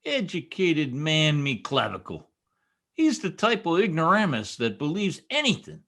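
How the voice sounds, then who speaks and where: A man's voice: fairly high pitch, wildly swooping pitch, unhurried, fairly bright tone, heavily acted. A man playing a blustery, boastful small-town husband in old-time radio comedy, with folksy exclamations. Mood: scoffing. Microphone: video call microphone. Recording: video call recording.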